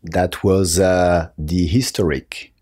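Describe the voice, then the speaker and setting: Male interview guest with a French accent, very deep and dark in tone, halting delivery. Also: fairly even intonation, breathy edge, no echo, studio quality. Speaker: interview guest, company marketing director. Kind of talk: conversational interview answers. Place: office.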